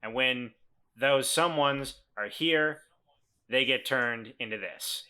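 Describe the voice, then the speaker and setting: A man's voice: medium pitch, slow pace, pushed and bright, clean tone. A man voicing a world-weary misfit turned badger-like creature in a tabletop game, blunt and earnest. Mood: blunt, resigned.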